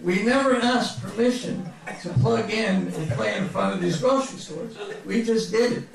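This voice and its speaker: High-pitched, creaky man in echoey room. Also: animated, normal speed, crowd noise. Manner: mock-grandiose comic storytelling. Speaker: author reading from autobiography. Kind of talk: humorous memoir reading to a live audience. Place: bookstore reading event before an audience. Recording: very echoey room, distant mic.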